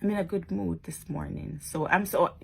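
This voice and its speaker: A woman's voice: fairly low pitch, normal speed, gravelly, breathy edge. A woman talking casually to her followers in a vlog, chatty and emphatic, often tagging on questions. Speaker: social media blogger.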